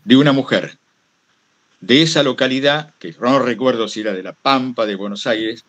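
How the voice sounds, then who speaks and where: A man's voice: medium pitch, normal speed, a bit bright, no room sound, lo-fi sound. A man with an Argentine accent talking informally to a workshop group as a guest, anecdotal, hesitant and self-deprecating. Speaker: guest speaker, radio host.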